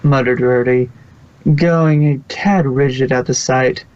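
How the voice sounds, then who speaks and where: A man's voice: medium pitch, fairly even intonation, measured pace, darkish tone. A man narrating a fan fiction story aloud as an amateur reader, in storybook style. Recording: dull, lo-fi home microphone.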